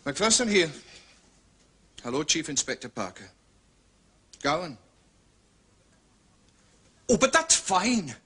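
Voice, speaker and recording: Husky, bright-toned police inspector. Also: male voice, fairly high pitch, sing-song, heavily acted. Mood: brisk. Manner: brisk, businesslike. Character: brisk, deferential Scottish police inspector. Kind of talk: British detective drama dialogue.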